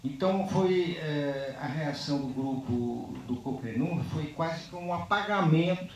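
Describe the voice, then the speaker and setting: A man speaking unscripted on a panel after a film screening. He talks in a halting, reflective way and ends most phrases with a tag question. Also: a little high. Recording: very echoey, distant microphone.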